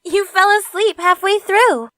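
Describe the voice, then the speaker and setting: A woman's voice: very high voice, a bit bright, dry recording, clean studio sound, heavily acted. A woman playing a playful, affectionate young companion who talks in a teasing, languid way.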